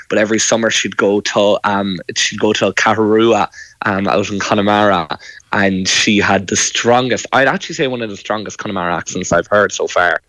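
A man's voice: lowish voice, fairly bright tone, no room sound, low-quality recording. A young man from County Kerry with an Irish accent, speaking as a students' union officer in a radio interview, enthusiastic and earnest. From Ireland, County Kerry. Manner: enthusiastic, earnest, uses fillers like um.

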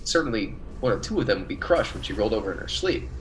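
A man's voice: lowish voice, big pitch swings, fast, slight background noise. A man playing an earnest, defensive biologist on a colony planet in a classic radio play, emphatic and easily flustered. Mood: reasoning.

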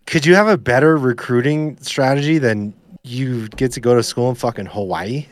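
Blunt American man, mid-pitched, casual. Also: normal speed. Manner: blunt, slangy, profane. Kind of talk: casual chat.

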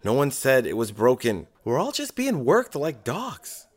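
A man's voice: mid-range voice, huge pitch swings, a little slow. A man playing a blunt, weary radio scriptwriter in an audio drama, sceptical and plain-spoken. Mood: weary, annoyed. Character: blunt, weary male radio scriptwriter standing up to his boss.

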